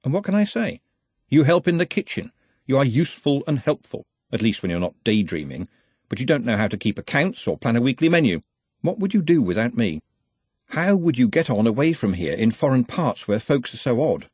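A man plays a sensible, motherly working woman in a comic fantasy story. She is bossy and protective, with animated pitch and a husky edge. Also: brisk, no room sound.

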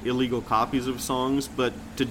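A man's voice: level tone, moderate pace, fairly bright tone. A middle-aged American man chatting casually and reminiscing as a podcast co-host. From Kansas City, United States. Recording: clearly noisy, rumbling background.

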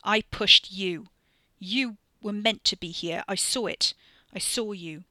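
Woman putting on a bitter young guy yelling, crisp dry sound. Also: medium pitch, a little slow, dry recording. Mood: insistent. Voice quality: raw and shouting. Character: bitter resentful young British man.

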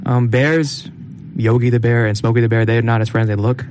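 A man's voice: mid-range voice, steady tone, fairly quick, a little mellow, a little hiss or hum. Young guy podcast cohost, rambly and jokey, laughs a lot, small studio mic. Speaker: podcast co-host. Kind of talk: casual chat.

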